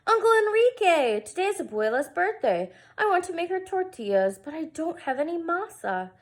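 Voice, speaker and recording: A woman's voice: extremely high pitch, normal speed, pitched above the performer's own voice. A woman voicing an eager, earnest, worried young boy in a children's storybook read-aloud. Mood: excited, pleading. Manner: expressive, earnest.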